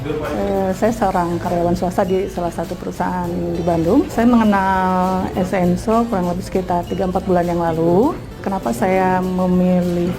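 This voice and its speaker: A woman's voice: on the low side, steady tone, moderate pace. Woman speaking informally over music. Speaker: private company employee giving a testimonial. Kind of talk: informal spoken testimonial. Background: background music.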